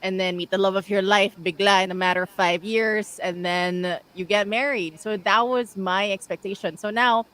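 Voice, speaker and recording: A woman in her thirties with a Filipino accent hosts a casual radio interview at a middle pitch. She talks in a chatty, rambling way, laughing often and dropping in Tagalog words. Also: steady tone, normal speed, few pauses, a bit bright, clear, resonant tone. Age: 30s. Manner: chatty, laughs easily, Taglish asides. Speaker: radio host.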